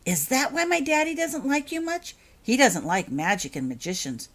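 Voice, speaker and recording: A woman's voice: sits high, big pitch swings, normal speed, fairly bright tone, voice pushed up, heavily acted. An eager, curious little girl from a storybook, acted out by a woman, chattering with breathless wonder. The voice is lifted and swings up and down as she exclaims. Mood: excited wonder.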